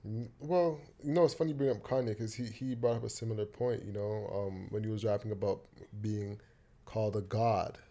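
A man co-hosting a podcast, speaking calmly and making his points step by step. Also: fairly low pitch. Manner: calm, argues in measured steps, many short agreements. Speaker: podcast co-host. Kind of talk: casual podcast chat.

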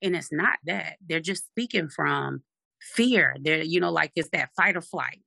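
A speaker with a deep, raspy voice, talking firmly and assertively in a casual discussion. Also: unhurried, bright, forceful tone, no room sound.

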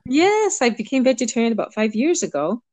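A woman's voice: on the high side, sing-song, dry recording. Middle-aged cuban-born coach lady, thoughtful, lots of ums, dry mic. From Cuba. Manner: warm, reflective, pauses with ums. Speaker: empowerment coach, guest. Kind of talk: interview conversation.

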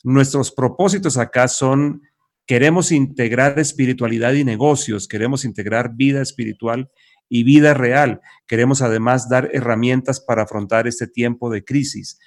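A man's voice: medium pitch, normal speed. A man hosting a business podcast, upbeat and encouraging as he introduces segments. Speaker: podcast host.

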